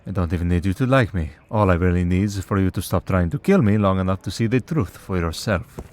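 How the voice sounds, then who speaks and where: A man playing a centuries-old vampire priest in an audio roleplay, calm, wry and merciful, talking in a dry, unhurried, politely sardonic way. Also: low voice. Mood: calm, guarded. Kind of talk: scripted audio roleplay, ASMR drama.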